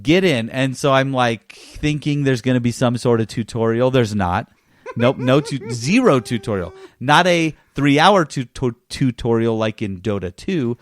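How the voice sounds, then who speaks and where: A man's voice: mid-range voice, a little slow, dry recording. Man casually recounting on a gaming podcast. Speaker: gaming podcast co-host and reviewer. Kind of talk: casual chat, game review.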